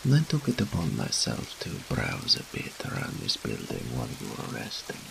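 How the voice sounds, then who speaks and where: An ancient, seductive incubus demon speaks in an extremely low, heavily gravelly voice. His delivery swings dramatically in pitch as he teases and boasts with theatrical arrogance, as in an intimate audio roleplay addressed straight to the listener. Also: huge pitch swings, background noise, full character voice. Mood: hungry, smug. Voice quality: very deep, gravelly. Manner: slow, theatrical, teasing, pompous.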